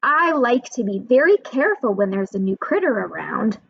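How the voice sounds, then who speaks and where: A woman's voice: fairly high pitch, very animated, normal speed, vocal fry, breathy edge, lo-fi sound, full character voice. A woman voicing a giggly, cautious little violet flower girl in a sing-song storybook reading.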